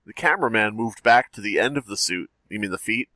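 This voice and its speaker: A man with a fairly low, bright, forceful voice riffs wryly on a story he reads aloud on a podcast. He laughs easily between lines. The mic is close and dry. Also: animated, fast talker, no room sound. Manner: laughing, wry commentary. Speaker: podcast co-host. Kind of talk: casual chat and story reading.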